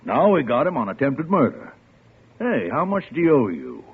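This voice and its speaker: A husky american police lieutenant, folksy and lively, tinny old radio sound. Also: male voice, mid-range voice, normal speed, heavily acted. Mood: amused. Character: gruff, admiring, amused male police lieutenant. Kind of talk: mid-century American radio drama acting.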